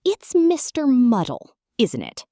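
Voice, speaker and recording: A woman's voice: on the high side, huge pitch swings, slow, dark tone, no room sound, studio quality, pitched above the performer's own voice, exaggerated voice. A woman reading a storybook, voicing a fussy, house-proud little lady with a prim, exclaiming manner. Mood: inquiring.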